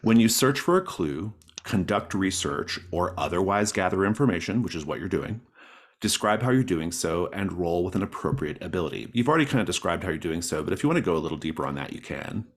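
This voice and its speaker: A man's voice: low-pitched, animated, fast talker. A man speaking casually and encouragingly as a game master, explaining rules and setting to his players. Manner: encouraging, affirming players' ideas.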